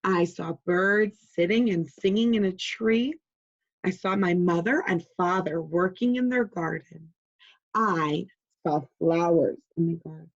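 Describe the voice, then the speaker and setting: A woman voicing a friendly frog who calmly and patiently tells a story of his childhood. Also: fairly low pitch, measured pace, muffled recording. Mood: contented.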